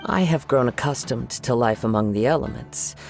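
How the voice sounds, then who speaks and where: A man playing a calm, courteous young wandering swordsman in a video game, gentle and reflective in formal wording. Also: mid-range voice, moderate pace, faint music behind, close to an everyday voice. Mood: reflective, reserved.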